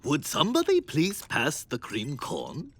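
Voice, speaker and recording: A man's voice: on the high side, huge pitch swings, unhurried, background noise, exaggerated voice. A man voicing a cranky old rat father in a cartoon, fussy, indignant and theatrical. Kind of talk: animated cartoon voice acting.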